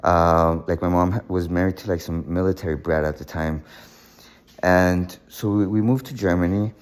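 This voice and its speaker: A young man telling his life story in a casual monologue, halting often with fillers and repeated words. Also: sits low, steady tone, clean tone. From United States.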